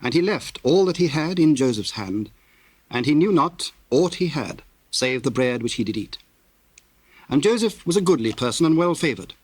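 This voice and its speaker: A man's voice: a little high, lively intonation, a little mellow, slightly rough, no room sound. Solemn male scripture narrator, dry sound. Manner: solemn, measured, formal.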